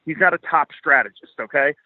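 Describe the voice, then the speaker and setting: A man's voice: fairly high pitch, brisk, dry recording, phone-quality sound. A man speaking as a podcast guest, giving casual, opinionated financial commentary in blunt, informal language with swearing.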